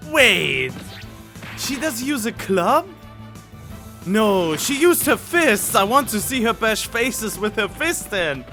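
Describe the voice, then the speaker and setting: A man hosting a casual gaming reaction video, talking in a halting way as he thinks aloud into a studio microphone. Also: sits high, sing-song, pushed and bright, faint music behind. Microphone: studio condenser microphone.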